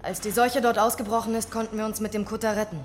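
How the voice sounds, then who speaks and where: An actress playing a level-headed, outspoken doctor and survivor in a radio horror drama, direct and dry. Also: female voice, medium pitch, level tone, quick pace, noisy background, lightly acted. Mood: firm, businesslike.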